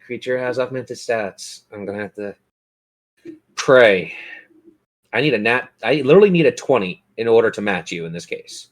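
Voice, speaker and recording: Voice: medium pitch, animated, moderate pace. Theatrical game master at the table. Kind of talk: running a tabletop roleplaying game.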